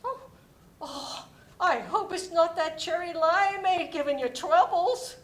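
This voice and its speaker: A woman playing a kindly, kooky, motherly older neighbour in a dramatic play reading, with a gentle, fussing manner. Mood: concerned, soothing. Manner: slow, fussing, full of endearments. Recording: faint background noise and rumble.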